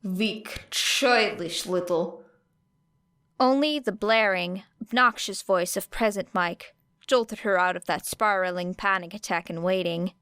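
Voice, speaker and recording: A woman reading a fiction audiobook as narrator, with expressive scripted delivery. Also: mid-range voice, normal speed, clean tone, no echo.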